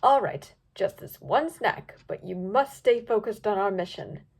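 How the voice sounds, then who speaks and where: A woman's voice: mid-range voice, expressive, unhurried, vocal fry. A woman doing a stern, haughty pony sorceress. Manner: imperious, exasperated, clipped.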